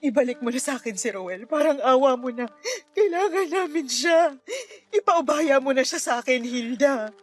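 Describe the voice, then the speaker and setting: A despairing, sleepless young mother in a Filipino radio drama. Her Filipino-accented woman's voice sits high and swings between sullen withdrawal and raw pleading. Faint music runs behind her. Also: animated, normal speed. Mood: desperate pleading. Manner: curt and sullen, breaking into tearful pleading.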